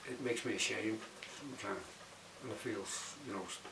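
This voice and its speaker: A man's voice: on the low side, a little fast. A man in his sixties with a Liverpool accent, giving unscripted answers in an interview. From Bootle, Liverpool, England. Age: 60s. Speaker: documentary interviewee. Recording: roomy, distant mic, clearly noisy background.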